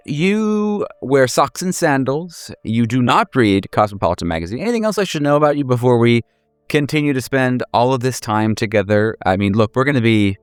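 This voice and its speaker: A man's voice: medium pitch, clean tone, dry recording, clean studio sound, pitched above the performer's own voice, lightly acted. A man voicing a coy, playful woman who teases and mock-scolds, in a tabletop roleplaying game. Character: coy, playful, teasing woman under witness protection.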